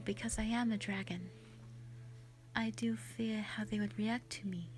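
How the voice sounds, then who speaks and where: Woman playing a slow-spoken, gentle shy dragon lady. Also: fairly high pitch, close to an everyday voice. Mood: wistful, gentle. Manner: soft, breathy, hesitant, sweet and earnest. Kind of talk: roleplay audio drama, intimate one-sided dialogue.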